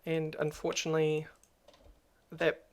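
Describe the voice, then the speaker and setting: A speaker with a noticeably crackly voice talks straight to camera in a personal vlog. The delivery is flat and low-key, with little rise and fall. They sound subdued and hesitant, pausing often on ums. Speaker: YouTuber.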